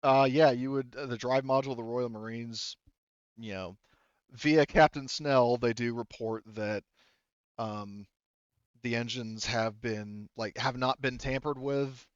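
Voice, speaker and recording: A man narrating a tabletop game as game master, improvising in a halting, hesitant, rambling way. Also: mid-range voice, steady tone, clear, resonant tone, no room sound, muffled recording.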